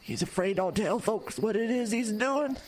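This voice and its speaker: A woman's voice: medium pitch, moderate pace, a little mellow, a little hiss or hum, lightly acted. A voice actor playing an old woman from the woods, the carnival owner's mother, who talks in folksy rural grammar and gives ominous warnings in a horror radio drama. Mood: bitter.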